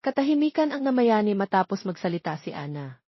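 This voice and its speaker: Lady narrating a love story audiobook, mid pitch, kinda muffled lo-fi audio. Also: big pitch swings, fast talker, breathy edge, no echo. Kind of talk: scripted romance story narration.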